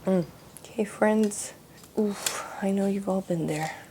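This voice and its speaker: A woman's voice: medium pitch, slow, very noisy. A young woman from Russia, exhausted after a late shift, musing casually while she paints, with loose restarts and fillers. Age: young adult. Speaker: art vlogger.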